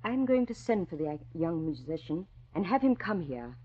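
A woman's voice: lowish voice, big pitch swings, moderate pace, breathy edge. An actress playing a haughty, domineering middle-aged society mother with an imperious, sarcastic way of talking, in a vintage radio drama. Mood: smug, cutting. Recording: muffled old radio recording.

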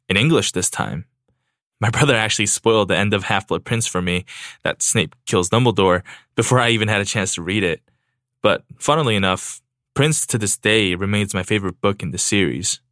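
A young man from South Korea, a native Korean speaker, reading a steady, reflective personal essay as a podcast producer. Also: on the low side, a little fast, dry recording, clean studio sound.

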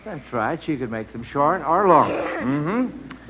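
Muffled old radio, higher-voiced American quizmaster man. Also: big pitch swings, takes it slowly, breathy edge. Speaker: quiz show host. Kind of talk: old-time radio quiz hosting.

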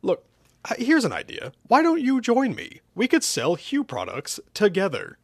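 A man voicing a cheerful, oblivious villain in a comic story, pitching evil inventions like a casual, eager salesman. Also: huge pitch swings, moderate pace, husky, no room sound, clean studio sound, exaggerated voice. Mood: pleading, pitching.

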